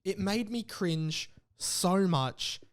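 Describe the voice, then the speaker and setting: Higher-voiced Australian man podcasting casually. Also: lively intonation, very slow. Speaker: podcast host. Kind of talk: casual solo podcast talk.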